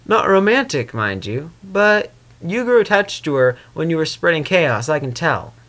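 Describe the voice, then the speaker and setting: A man voicing a patient, earnest female pony ruler, gently firm and persuasive, in a fan fiction reading. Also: fairly high pitch, sing-song, moderate pace. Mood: knowing.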